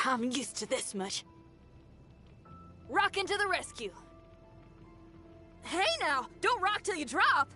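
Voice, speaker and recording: A woman's voice: high-pitched, big pitch swings, takes it slowly, long pauses, sharp tone, faint music behind, exaggerated voice. An actress voicing an upbeat, brash young female rock musician in a video game, with slangy, exuberant, hammy delivery. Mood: fired up. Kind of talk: video game character voice lines.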